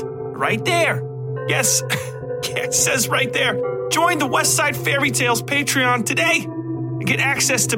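Man putting on a high, brash huckster. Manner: sarcastic, exaggerated, swearing. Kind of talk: comic in-show promo.

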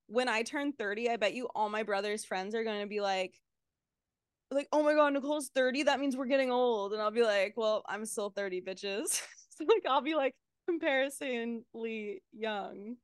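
A woman in her late twenties with a smooth, clear voice pitched a little high, talking bluntly and joking with casual swearing. The sound is dry and close to the mic. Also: moderate pace, no echo. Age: 20s. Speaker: podcast co-host. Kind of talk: casual chat.

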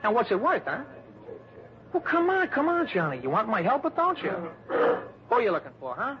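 A man's voice: very high voice, wildly swooping pitch, moderate pace, heavily acted. An actor playing a pushy, scruffy American small-town informant in his thirties, wheedling and folksy in an old radio drama. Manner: folksy, wheedling, repetitive, tags questions with huh and see. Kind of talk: 1960s radio drama acting. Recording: dull vintage radio recording, crowd murmur.

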